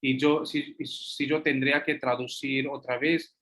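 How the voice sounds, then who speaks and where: A man whose first language is Hindi speaks at an unhurried, slow pace. He pauses often, fills gaps and restarts phrases as he answers questions in a video call. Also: medium pitch, flat delivery, fairly bright tone. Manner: slow and halting, many fillers and restarts. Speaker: guest lecturer and translator.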